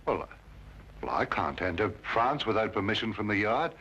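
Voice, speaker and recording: A man's voice: lowish voice, animated, normal speed, bright, forceful tone, a little hiss or hum. A man acting a fussy, complaining police inspector with a hesitant, grumbling manner in an old detective drama. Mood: flustered. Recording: dull vintage soundtrack, music behind.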